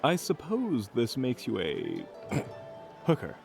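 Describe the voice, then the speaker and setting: Man playing a slow, smug, swooping joker. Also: medium pitch, wildly swooping pitch, creaky, over music, voice pushed up, heavily acted. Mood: smug, teasing. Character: smug, pun-loving joker of a fantasy party.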